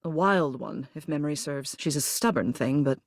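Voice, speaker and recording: A woman's voice: deep voice, lively intonation, normal speed, dry recording. Genteel, commanding lady mayor, dry studio sound. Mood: fond, composed. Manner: formal, authoritative, genteel, fond endearments. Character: dignified, protective woman mayor, an old living household object. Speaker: voice actor in a dating sim game. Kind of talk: polished video game character acting.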